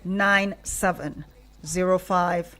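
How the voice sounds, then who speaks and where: A woman's voice: lowish voice, steady tone, slow pace, a bit bright. A woman with a Nigerian accent hosting and interviewing live in a radio studio. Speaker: radio show host. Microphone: studio microphone.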